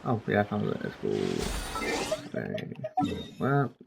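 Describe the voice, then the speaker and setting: A man's voice: sits low, wildly swooping pitch, slow pace, gravelly. Casual man commentating over a game. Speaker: game streamer. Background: game music.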